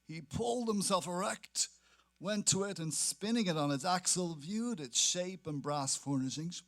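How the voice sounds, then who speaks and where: A man's voice: high-pitched, expressive, moderate pace, a bit bright. A man giving a dramatic reading of literary prose as narration. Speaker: actor reading aloud.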